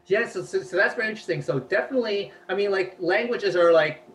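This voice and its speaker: A man's voice: high-pitched, fast talker. A man whose first language is Cantonese, chatting as an interview guest and explaining at length with many fillers. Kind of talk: casual interview talk.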